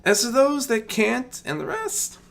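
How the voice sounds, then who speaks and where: A performer doing a gracious sun princess, swooping pitch. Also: huge pitch swings, a little slow, vocal fry, a bit bright, heavily acted. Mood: assured. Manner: polite, formal, articulate. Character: gracious princess of the sun, magical mare, eager warrior.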